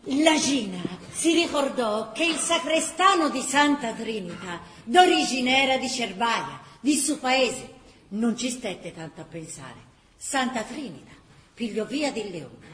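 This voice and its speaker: An actress playing a lively Florentine woman, telling a wartime story in colloquial theatrical style. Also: a little high, measured pace. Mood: animated. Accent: Florentine Tuscan. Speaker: actress-narrator. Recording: roomy stage echo.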